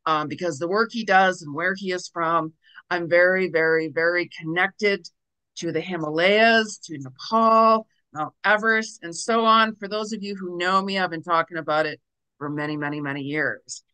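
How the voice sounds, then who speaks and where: A woman hosts her own interview podcast with a bright, crisp voice. She delivers the intro with enthusiasm, promoting her channels before she welcomes her guest. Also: on the low side, normal speed, low-quality recording. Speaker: podcast host.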